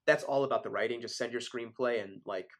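A man's voice: medium pitch, brisk. A young adult man, a filmmaker guest, talking casually in an interview, full of fillers and asides. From Philadelphia area, born in Switzerland. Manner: conversational, many fillers like "like" and "you know".

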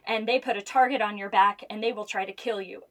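A woman's voice: mid-range voice, fairly even intonation, fast. A woman with an American accent speaking as an interview guest, explaining things earnestly and emphatically in a conversational storytelling way.

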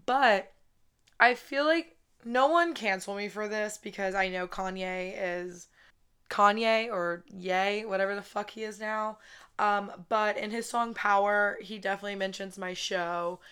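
A woman in her twenties hosting her own podcast, talking casually with a raspy voice after a weekend wedding, swearing often and saying "like" constantly. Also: medium pitch, fairly even intonation, a little slow, a bit bright. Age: 20s. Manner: chatty, swears a lot, says "like" constantly. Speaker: podcast host. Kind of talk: casual solo podcast chat. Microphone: podcast microphone, close.

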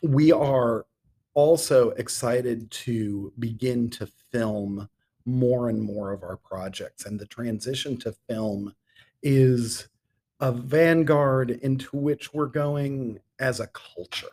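A man's voice: medium pitch, takes it slowly, a little mellow, husky. A middle-aged man speaking as a theatre director being interviewed on a podcast, articulate and enthusiastic, with ornate turns of phrase.

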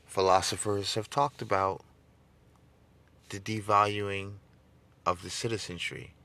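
A middle-aged man with a smooth but gravelly, fairly low voice hosts his podcast. He talks to listeners like a crowd and swells into a sermon-like, emphatic rhythm as he makes his case. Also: fairly even intonation, unhurried, sharp tone. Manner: conversational, rising into emphatic preaching cadence; addresses listeners directly, seeks call-and-response. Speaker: podcast host. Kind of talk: casual political podcast monologue.

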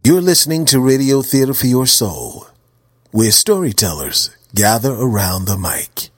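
A man's voice: medium pitch, big pitch swings, unhurried, dry recording, studio quality. A man announcing a radio show in a scripted ident. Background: loud music.

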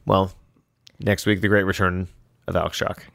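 Low-voiced American man hosting a podcast. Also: normal speed, gravelly, dry recording, studio quality. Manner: relaxed, wraps up the show. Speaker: podcast host. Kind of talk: casual chat.

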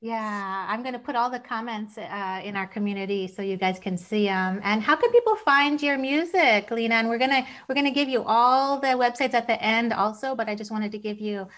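A woman's voice: a little high, fairly quick, few pauses, a bit bright. A woman hosting an online event in a casual, effusive and encouraging way. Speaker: event host. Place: video call.

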